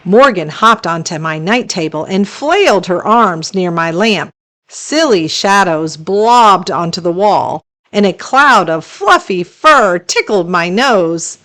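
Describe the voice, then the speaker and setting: A woman narrating a children's story as a read-aloud host, expressive and deliberate. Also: on the low side.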